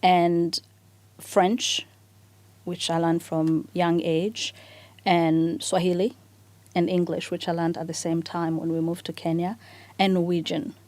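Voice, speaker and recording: A woman co-hosts a relaxed podcast chat, thinking aloud and restarting her sentences. Her voice sits on the low side. The sound is close and dry. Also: slow, no echo. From Rwanda. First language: Kinyarwanda. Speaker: co-host.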